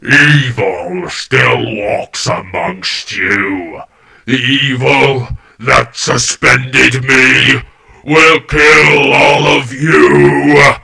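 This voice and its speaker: A man's voice: mid-range voice, steady tone, creaky, pushed and bright, full character voice. A man voicing a menacing demon monster with a harsh, growling voice, speaking in a cold, threatening manner in a fantasy audiobook. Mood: cold menace. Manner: slow, drawn-out threatening delivery.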